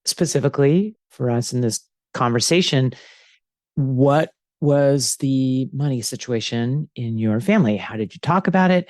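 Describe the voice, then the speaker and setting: Calm male interviewer, dry close sound. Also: mid-range voice, no room sound. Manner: friendly, measured questions. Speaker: interview host. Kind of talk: conversational interviewing.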